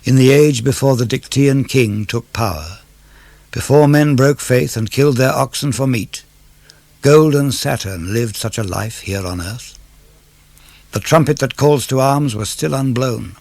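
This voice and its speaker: A man reads classical poetry aloud for radio. His phrasing is measured and declamatory, with a gravelly edge to the voice. The sound is dry and intimate. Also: mid-range voice, a little mellow, dry recording. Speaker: poetry reader. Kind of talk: dramatic verse reading of classical poetry for radio.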